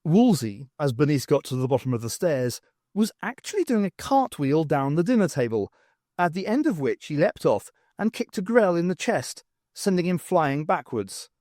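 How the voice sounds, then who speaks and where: A man narrates a novel expressively. His voice sits somewhat high and moves in lively swings of pitch. The recording is close and dry, with little room sound. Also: normal speed, no echo. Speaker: audiobook narrator. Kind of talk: expressive scripted fiction narration.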